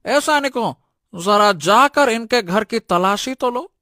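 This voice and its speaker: Man playing a high, sharp, bossy minister. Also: animated, takes it slowly, no room sound, studio quality, full character voice. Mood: commanding. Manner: loud, commanding, taunting. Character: accusing, bossy male royal minister in a village tale. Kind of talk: Hindi cartoon story dialogue.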